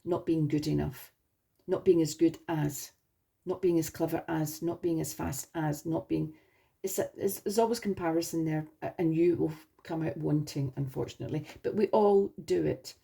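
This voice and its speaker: A woman talks viewers through a tarot spread in a reassuring, rambling way, often restarting her phrases. Her voice sits low and mellow, with a gravelly, husky edge from a sore throat. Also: steady tone, normal speed. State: slightly hoarse from a sore throat. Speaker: tarot reader. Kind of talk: spoken tarot reading to viewers.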